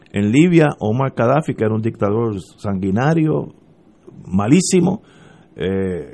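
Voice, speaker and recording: A man's voice: mid-range voice, creaky, darkish tone. A man hosting a talk radio show, giving political commentary with emphatic rhetorical questions and frequent restarts.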